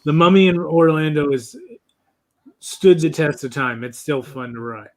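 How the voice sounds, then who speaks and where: A man's voice: fairly high pitch, normal speed. A man in his thirties hosting a live podcast panel, chatting eagerly and jumping in on others. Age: 30s. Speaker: podcast host. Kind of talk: casual chat.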